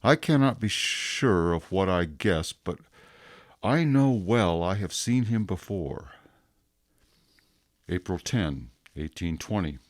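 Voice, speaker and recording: An actor playing a stern, suspicious police inspector reading his journal entries in a radio drama, with measured, clipped delivery. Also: sits low, expressive, no room sound, studio quality. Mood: suspicious, brooding.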